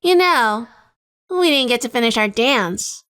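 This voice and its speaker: A woman playing a high-voiced sassy gangster-era dame. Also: animated, a little slow, fairly bright tone, smooth, no room sound, studio quality. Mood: flirty banter. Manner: teasing, sardonic. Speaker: co-host and lead voice actress. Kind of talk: noir comedy audio drama acting and narration. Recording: music bed under the voice.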